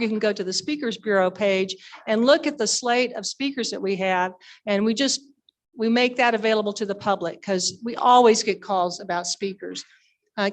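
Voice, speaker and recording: Female society director, somewhat high voice, enthusiastic and colloquial, informally presenting to members. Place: live members' meeting.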